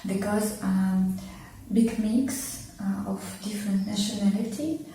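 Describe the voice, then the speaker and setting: Slow, halting woman. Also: mid-range voice, flat delivery, mellow. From Russia. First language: Russian. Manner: halting, searching for words with many fillers. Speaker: interviewee, immigrant learning English. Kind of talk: casual conversation. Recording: echoey room, far from mic, faint noise.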